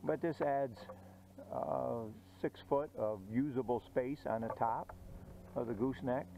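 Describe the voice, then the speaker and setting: A middle-aged man casually explaining a welding project outdoors, slow and halting with many "uh" pauses. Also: mid-range voice, fairly even intonation, gravelly, dull audio, a little hiss or hum. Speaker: DIY channel host. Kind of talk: casual how-to narration. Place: outdoors on a grassy yard.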